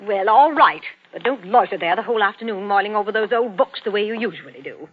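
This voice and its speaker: An actress playing a bossy, scolding middle-aged wife in a curt, nagging manner, in old-time radio drama style. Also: female voice, mid-range voice, expressive, quick pace, close to an everyday voice. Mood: commanding. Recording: dull vintage radio drama recording.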